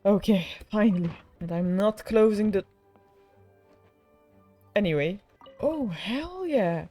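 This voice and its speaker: A woman's voice: mid-range voice, expressive, slow pace, mellow, faint music behind. A woman giving casual, slangy gameplay commentary in a joking, sarcastic manner.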